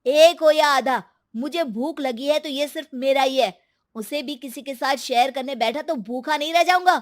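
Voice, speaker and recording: A woman's voice: high-pitched, moderate pace, lightly acted. A woman voicing a spoiled, selfish younger brother in a story, petulant and dismissive. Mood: irritated.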